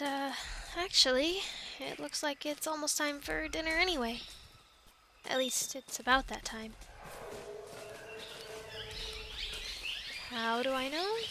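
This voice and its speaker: A woman playing a plucky young island castaway in an ASMR roleplay, chatting in an animated, halting, stammering way. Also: high-pitched, noisy background. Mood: chatty, explaining. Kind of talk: ASMR roleplay, conversational one-sided dialogue.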